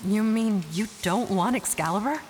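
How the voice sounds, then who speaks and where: A woman's voice: mid-range voice, moderate pace, slight background noise, close to an everyday voice. A woman playing an earnest, plucky young adventurer in an audio drama. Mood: amazed.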